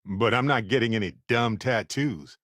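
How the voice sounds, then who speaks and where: An actor playing a wry, good-humored space explorer companion in a video game, talking in an expressive, conversational way. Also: male voice, fairly low pitch, moderate pace, no room sound, clean studio sound. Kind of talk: video game character voice lines.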